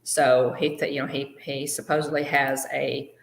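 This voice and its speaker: A woman's voice: rumbling low, little pitch movement, normal speed, gravelly, roomy sound. A woman co-hosting a live fan show, chatting casually and greeting viewers by name, with plenty of fillers. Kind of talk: casual live-stream chat.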